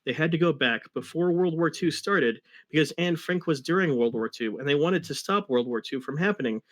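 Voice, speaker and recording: High-voiced man narrating fan fiction. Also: animated, brisk. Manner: narrates with wry asides. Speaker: reader of a fan fiction story. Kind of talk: comic dramatic reading.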